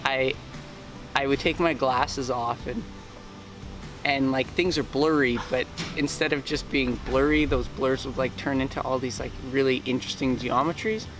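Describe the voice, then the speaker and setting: A young man talks in a relaxed, laid-back way, full of "like" and "uh." His voice sits at an ordinary pitch, and music plays behind him. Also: moderate pace. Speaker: ceremony participant. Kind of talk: casual recollection.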